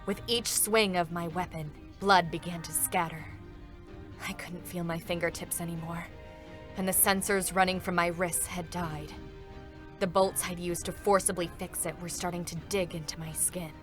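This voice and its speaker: Woman acting 2B, low-voiced grieving android soldier. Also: steady tone, moderate pace, close to an everyday voice. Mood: somber, determined. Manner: earnest, emotional. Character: grieving determined female android soldier, young adult. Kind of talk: dramatic reading, first-person narration. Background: music.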